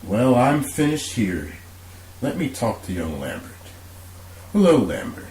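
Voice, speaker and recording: A man playing an authoritative, brisk police commissioner in an amateur radio drama. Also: mid-range voice, very animated, a little mellow. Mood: businesslike. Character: authoritative middle-aged police commissioner, steady, businesslike. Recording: roomy echo, faint hiss.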